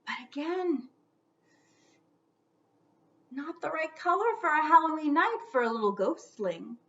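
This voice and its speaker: A woman reading a children's storybook aloud theatrically, stretching out words and dropping into hushed whispers for suspense. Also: on the high side, highs cut off.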